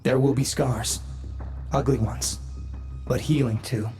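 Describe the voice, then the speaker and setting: An actor playing a sardonic, morbidly playful son in a video game, with a theatrical, drawn-out delivery. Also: male voice, mid-range voice, fairly even intonation, a little mellow, faint music behind. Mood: reflective, grateful. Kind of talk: dramatic video game character acting.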